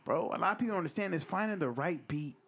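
A man's voice: fairly high pitch, lively intonation, racing, a bit bright, breathy edge. A man with a light voice hosting a music review show, chatting loosely, full of slang and swearing. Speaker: podcast host reviewing music. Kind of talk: casual chat.

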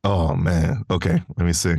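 A man's voice: sits low, fairly even intonation, normal speed, gravelly, a little mellow, slightly rough, no room sound, studio quality. An American man talking casually as a guest on a podcast. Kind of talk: casual chat.

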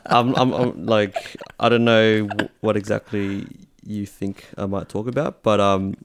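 A man hosts a casual podcast chat and keeps things moving with quick, encouraging interjections. His voice sits a little low. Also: fairly even intonation, a little hiss or hum. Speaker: podcast host.

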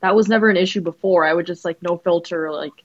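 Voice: mid-range voice, quick pace, lo-fi sound. A speaker in their twenties chatting casually on a podcast, telling stories animatedly with many fillers.

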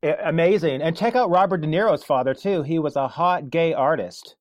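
A man's voice: a little high, moderate pace, dry recording. A man hosting a casual interview in a playful, teasing manner, recorded on dull lo-fi audio.

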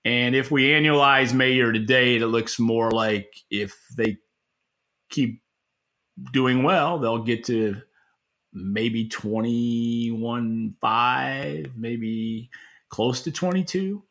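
A man co-hosting a podcast, giving opinionated market commentary in an informal, halting way with repeated words. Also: medium pitch, a bit bright. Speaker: podcast co-host and industry analyst.

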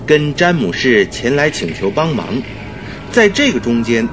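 Detective's doctor companion, radio drama: thoughtful, modest, deliberate yet varied intonation, music underneath. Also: male voice, medium pitch, sing-song. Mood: pondering. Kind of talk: Mandarin radio drama acting.

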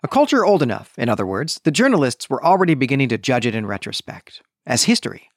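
A man's voice: mid-range voice, big pitch swings, fast, no room sound, studio quality. A man hosting a book review podcast, speaking in an emphatic, opinionated and conversational way. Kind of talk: podcast book review, reading passages and commenting.

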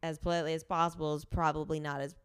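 A woman's voice: sits low, level tone, rapid-fire, clean tone, dry recording, studio quality. A woman, a podcast host in her late twenties, chatting informally in an interview and saying "like" often. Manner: chatty, informal, liberal use of "like". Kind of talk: casual in-person interview.

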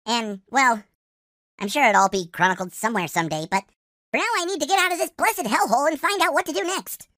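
A woman's voice: high-pitched, expressive, fast talker, a bit bright, dry recording, clean studio sound. A woman playing an anxious, exasperated, chatty droid adventurer in a sci-fi comedy radio drama, fretful and dramatic.

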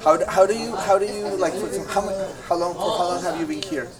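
Interviewer, distant amid loud chatter. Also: medium pitch, fairly even intonation, quick pace. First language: Spanish. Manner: restarts questions, repeats answers back.

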